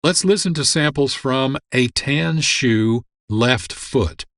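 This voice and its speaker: Male host, medium pitch, scripted radio presentation, dry studio-like sound. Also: takes it slowly, fairly bright tone, no room sound.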